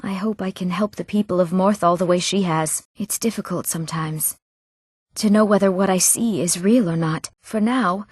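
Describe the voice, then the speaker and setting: An actress playing an eager, earnest young townswoman in a fantasy video game, speaking her scripted lines expressively and clearly. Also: lowish voice, moderate pace, a little mellow, no room sound, studio quality. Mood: earnest, wistful.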